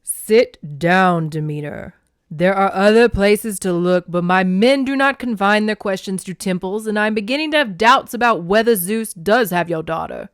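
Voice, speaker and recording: Scornful male sea god, acted by a woman, blunt, forceful, matter-of-fact, audiobook style, dry sound. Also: medium pitch, moderate pace, clear, resonant tone, dry recording, natural voice. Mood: impatient.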